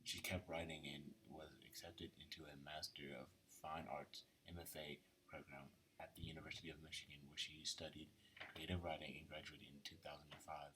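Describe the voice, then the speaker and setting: A man reading a biography aloud, somewhat haltingly, with small stumbles over the text. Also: a bass voice, little pitch movement, noisy background.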